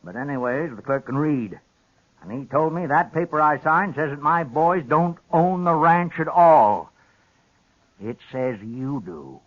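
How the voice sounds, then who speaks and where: Old american rancher dad, plain folksy drawl, stubborn, dull old radio sound. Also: male voice, a little high, lively intonation, unhurried. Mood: bitter, resolved. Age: older. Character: old rancher father, plain-spoken, wronged but stubborn. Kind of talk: 1950s radio western acting. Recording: muffled vintage radio recording.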